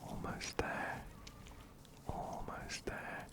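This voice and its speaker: Male ASMR gaming host, close-miked whisper, unhurried and soothing, gently repetitive narration. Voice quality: whispered, breathy, unvoiced. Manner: soothing, repeats words and phrases softly. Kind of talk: ASMR game playthrough, reading dialogue and narrating. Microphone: close-up binaural ear-to-ear mic.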